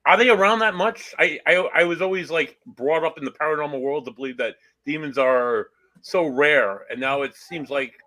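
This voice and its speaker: A man's voice: a little high, normal speed, pushed and bright, low-quality recording. Hesitant male co-host asking questions. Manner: hesitant, filler-heavy questioning.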